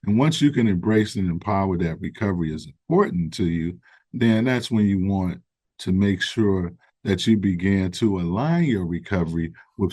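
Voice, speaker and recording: A man speaking as an interview guest, explaining his ideas in an earnest, measured way, correcting himself as he goes. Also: low voice, mellow, husky, dry recording. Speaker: guest, founder of a recovery organization. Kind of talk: conversational interview.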